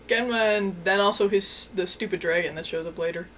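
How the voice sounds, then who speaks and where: A woman's voice: medium pitch, a little fast, fairly bright tone, slight background noise, poor audio. A woman co-hosting a casual, unscripted episode review, talking in a teasing, mocking way. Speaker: co-host of an anime episode review.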